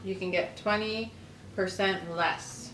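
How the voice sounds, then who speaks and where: A woman's voice: mid-range voice, fairly even intonation, slow, a bit bright, slight background noise. A woman, hosting a casual video, chatting and reading aloud. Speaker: host of a lifestyle video channel. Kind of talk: casual chat with reading aloud from an old newspaper. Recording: roomy echo, faint music underneath.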